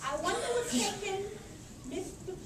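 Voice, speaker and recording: In an echoey room with audience noise, an old granny played by a woman frets in a very high, lively voice. She is an anxious, affectionate puppet in a children's show. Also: unhurried, far from the mic, over a noisy crowd. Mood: worried. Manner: fretful, affectionate.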